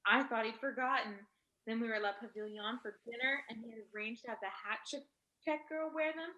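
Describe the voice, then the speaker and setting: A woman's voice: on the high side, fairly quick, bright, forceful tone. A woman acting a vain, glamorous, wealthy socialite who gushes about herself in a staged play reading over a video call. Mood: gushing. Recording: roomy video-call audio.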